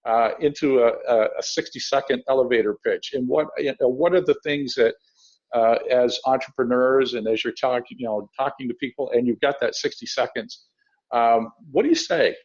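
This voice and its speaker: A man hosting an interview, asking long, conversational questions in a halting, wordy way. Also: medium pitch, darkish tone. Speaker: interview host. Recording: slightly dull, lo-fi sound.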